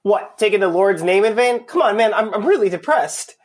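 A man's voice: high-pitched, animated, moderate pace. A man acting in an audio play as a hapless, exasperated undercover cop, blunt and incredulous. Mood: dejected.

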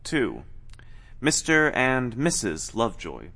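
A man's voice: mid-range voice, wildly swooping pitch, slow, creaky, bright, forceful tone, full character voice. An actor playing a patient, guarded male estate agent in an audio play, polite and salesmanlike. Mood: guarded, uneasy. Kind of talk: two-person audio play acting.

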